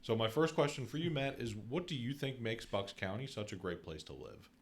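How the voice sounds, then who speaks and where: A man's voice: medium pitch, expressive, a little fast, a little hiss or hum. A man with an American accent hosting a casual interview in an affirming, conversational way. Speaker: podcast host, real estate agent.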